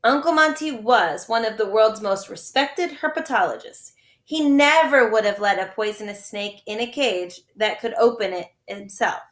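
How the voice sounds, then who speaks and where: A woman's voice: a little high, expressive, normal speed, fairly bright tone, reverberant. A woman voicing an earnest, sceptical young girl in a storybook, careful and insistent. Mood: indignant.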